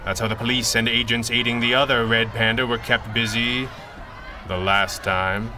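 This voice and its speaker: A man's voice: medium pitch, level tone, normal speed, noisy background, close to an everyday voice. A man playing a guilt-ridden masked crime fighter in a 1930s-style radio adventure, speaking with formal, earnest, dramatic diction. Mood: grave, resigned.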